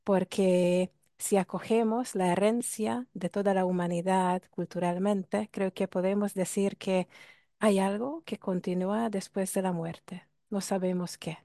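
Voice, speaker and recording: A woman's voice: medium pitch, fairly even intonation, measured pace, mellow, no room sound, clean studio sound. A woman with a Hungarian accent, explaining ideas in a reflective way and posing rhetorical questions to listeners. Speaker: workshop speaker. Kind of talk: talk on ancestral healing.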